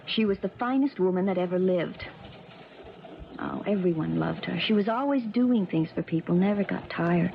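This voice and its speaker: A woman's voice: fairly low pitch, moderate pace, vocal fry. An actress playing a spoiled, scheming young rich woman, cold and insistent, in a 1970s radio drama. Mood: cool, stubborn. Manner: insistent, imperious. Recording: muffled, noisy old radio recording.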